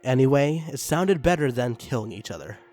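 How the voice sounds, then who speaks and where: A man's voice: mid-range voice, expressive, a little fast, darkish tone. A man reading dramatic fiction aloud as a scripted narrator. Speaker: narrator and story reader. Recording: background music under the reading. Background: music.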